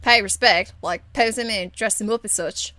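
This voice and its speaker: A woman's voice: medium pitch, normal speed, sharp tone, dry recording, natural voice. A woman voicing a cheeky, crude young elf thief in a video game, with a blunt, teasing, informal manner. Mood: disgusted, blunt. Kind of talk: video game character acting.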